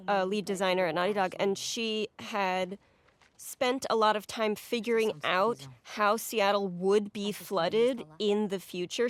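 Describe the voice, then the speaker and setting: Mid-pitched woman in casual commentary. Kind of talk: casual behind-the-scenes commentary.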